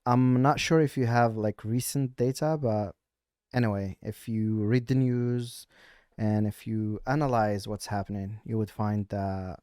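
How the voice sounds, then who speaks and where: A man with a Moroccan accent, live-streaming a casual, teasing chat, halting now and then with fillers. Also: fairly low pitch, steady tone, a little mellow, clean tone, no echo, studio quality.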